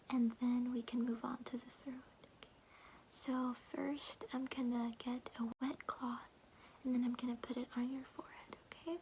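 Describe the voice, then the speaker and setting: A woman's voice: high voice, darkish tone, a little hiss or hum, poor audio. A young woman voicing a kindly, slightly clueless old grandmother in a whispered, soothing ASMR roleplay, fussing with folksy endearments, close to the microphone. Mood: caring, gentle.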